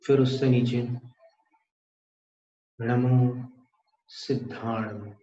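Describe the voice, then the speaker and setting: Very slow, mellow man guiding meditation. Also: medium pitch. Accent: Indian. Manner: calm, deliberate, with pauses. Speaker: guided meditation teacher. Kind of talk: guided meditation instruction. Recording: echoey room, dull muffled sound.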